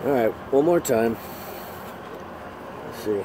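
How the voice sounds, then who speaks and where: A man in his thirties, a flatbed truck driver, giving a casual how-to on his phone camera outdoors beside his trailer, rambling, restarting and cursing freely. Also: mid-range voice, slow. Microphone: handheld phone camera, close. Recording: loud outdoor noise. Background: traffic and vehicle noise.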